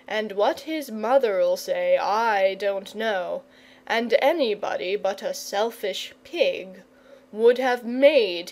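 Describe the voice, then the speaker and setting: A gentlemanly storybook knight, put on by a woman, kindly but firm. He speaks very slowly and reassuringly, in a measured way. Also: medium pitch, natural voice. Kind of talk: children's storybook character.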